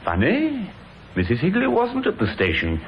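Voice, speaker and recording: An actor playing a sly philandering husband, smooth and offhand, in an old time radio drama. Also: male voice, sits high, wildly swooping pitch, moderate pace, heavily acted. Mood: coolly casual. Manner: smooth, coolly offhand, wheedling. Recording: muffled, noisy vintage radio recording.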